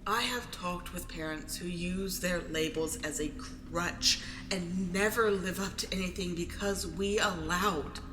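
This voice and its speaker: A woman's voice: on the low side, unhurried, few pauses, natural voice. An actress playing a judgmental, coldly clinical woman in an audio drama. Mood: guarded confession. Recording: echoey reverberant space, clear noise.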